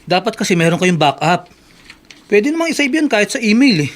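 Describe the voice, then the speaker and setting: A high-voiced, proud, aggrieved office worker. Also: male voice, big pitch swings, fairly quick. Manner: emphatic, defiant, boastful. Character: proud, hot-tempered promoted ex-janitor, an aggrieved brother. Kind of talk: Tagalog radio drama acting.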